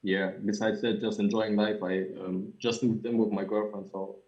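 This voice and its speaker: A young man with a German accent, a music producer chatting as a guest in an interview, easygoing and a little halting, with soft laughs. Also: on the low side, flat delivery. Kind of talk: casual interview chat. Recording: dull lo-fi line.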